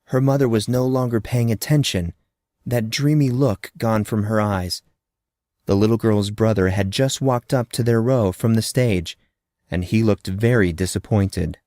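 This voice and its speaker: A man's voice: lowish voice, unhurried, warm tone, dry recording, studio quality. A man narrating a fantasy story dramatically, in short, suspenseful phrases.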